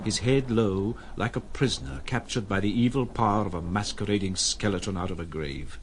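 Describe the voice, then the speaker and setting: Low-voiced man, solemn literary narrator. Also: a little hiss or hum. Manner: measured, solemn.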